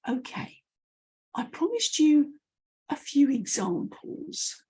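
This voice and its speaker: A woman's voice: sits high, very animated, slow pace, mellow. A British woman coaching her audience to camera, telling anecdotes with self-deprecating asides. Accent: British English. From United Kingdom. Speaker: message clarity coach.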